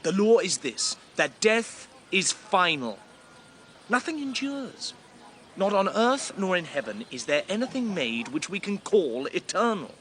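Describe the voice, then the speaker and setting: A man's voice: high voice, big pitch swings, a little slow, fairly bright tone, slight background noise, heavily acted. An actor playing a rigid, skeptical younger king who speaks with declamatory contempt in a classical radio drama. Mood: cold conviction.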